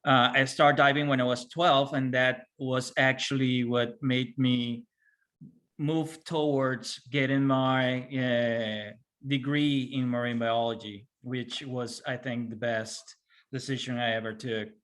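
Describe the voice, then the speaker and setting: A man's voice: medium pitch, fairly even intonation, a bit bright. Earnest middle-aged man from Venezuela. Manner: earnest, halting, with restarts. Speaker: instructor in training.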